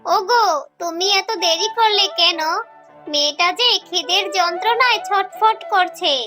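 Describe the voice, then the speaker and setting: A kind-hearted cartoon fox mother voiced by a woman with an extremely high, childlike pitch. She frets and pleads anxiously, with music playing clearly behind her. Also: a little slow. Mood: worried, pleading. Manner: pleading, fretful. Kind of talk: Bengali children's cartoon voice acting.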